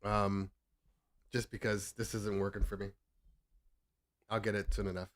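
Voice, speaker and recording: A man's voice: on the low side, little pitch movement, measured pace, dry recording, clean studio sound. Congested man chatting on a livestream. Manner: rambling, self-interrupting, joking. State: congested from just waking up. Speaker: DJ livestream host. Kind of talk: casual livestream chat. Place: home studio at his desk.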